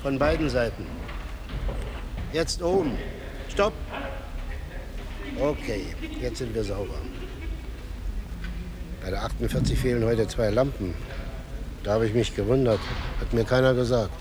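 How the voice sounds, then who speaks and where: An actor playing a grumbling theatre lighting technician calling out terse work instructions in a naturalistic radio play. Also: male voice, medium pitch, expressive, unhurried, pauses often, background noise, close to an everyday voice. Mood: grumbling, focused.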